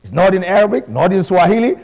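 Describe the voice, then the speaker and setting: A middle-aged man who learned English later, preaching a sermon with animated calls of praise. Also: sits high, fast. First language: not English. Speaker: pastor.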